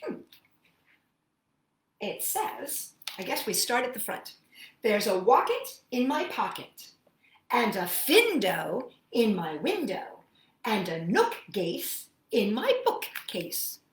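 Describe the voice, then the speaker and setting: A woman hosting children's storytime, reading picture books aloud and chatting to young listeners in a playful, expressive, sing-song manner. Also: a little high, takes it slowly, roomy sound, slight background noise.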